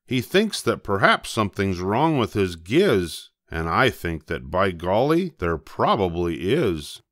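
An older man reading a rhyming children's storybook aloud, expressively, with playful emphasis and drawn-out words. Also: low-pitched, very animated, fairly bright tone, dry recording, clean studio sound. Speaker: storybook reader.

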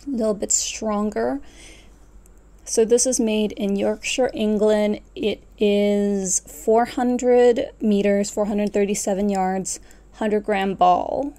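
A woman in her twenties talks casually to camera at home. Her voice is dark and relaxed, sitting at an ordinary female pitch. She rambles a little, muttering to herself and correcting what she just said. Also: slow. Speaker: knitting vlogger. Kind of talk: casual chatty yarn haul to camera. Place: small furnished room at home, indoors.

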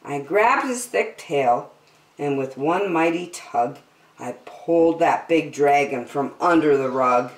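In a roomy, echoing space, a middle-aged woman reads a rhyming storybook for children. Her voice sits a little low, and she goes very slowly, drawing words out with deliberate emphasis. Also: expressive. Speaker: children's story time host. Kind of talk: expressive read-aloud of a rhyming picture book for children. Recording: roomy indoor echo.

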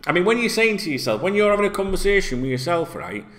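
A man's voice: high-pitched, sing-song, quick pace, fairly bright tone. A middle-aged man, ranting casually and swearing a lot as a football vlogger talking straight to camera in a small room. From Yorkshire, England. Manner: sweary, rambling, conversational. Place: small indoor room, plain wall.

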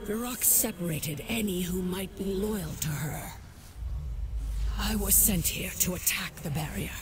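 Solemn dragon warrior for a fantasy game female actor, unhurried, measured delivery, resolute, music underneath. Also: fairly low pitch, close to an everyday voice. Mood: grave, resolute. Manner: solemn, deliberate.

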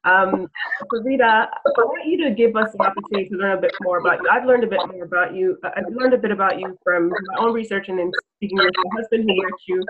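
A woman's voice: mid-range voice, fast talker. A woman from Jamaica hosting an interview over a video call, chatty and asking many questions. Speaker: interviewer, summit host. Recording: tinny phone-like video call line with noise.

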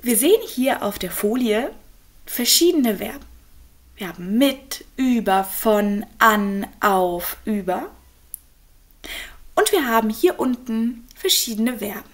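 A young woman, a native speaker and German teacher, calmly explaining grammar in an online lesson with worked examples. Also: a little high. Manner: slow, clear, patient explaining with examples.

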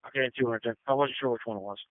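A man's voice: medium pitch, very fast, dry recording, phone-quality sound. A man working as a field command officer, talking over a two-way radio. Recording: band-limited emergency radio transmission.

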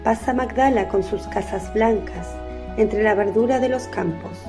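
A woman's voice: medium pitch, measured pace. A woman narrating a religious radio drama from a script. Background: faint music.

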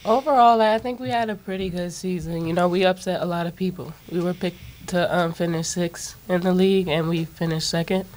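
A woman's voice: lowish voice, fairly even intonation, brisk, few pauses, a little mellow, slight background noise, rough recording. Young woman athlete, level and plain. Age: young adult. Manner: casual, plain-spoken with "like". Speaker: college basketball player. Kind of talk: postgame press conference answers. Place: press conference room, seated at table. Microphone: table mic on stand.

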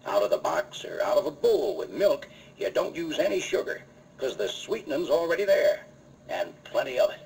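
Folksy, very lively radio pitchman. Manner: folksy, emphatic salesman delivery. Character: folksy cowboy-show pitchman selling cereal to kids. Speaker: announcer in a cereal commercial. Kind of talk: 1950s radio advertising pitch. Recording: noisy old radio recording.

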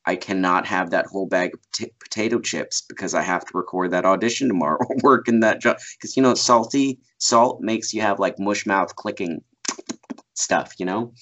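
A man's voice: low voice, normal speed, a bit bright. A man in his thirties, a voice actor guest, chatting casually over a video call from a small room at home, rambling with fillers and laughs. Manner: rambling, laughs often, many fillers and restarts. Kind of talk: casual interview chat. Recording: muffled video call audio.

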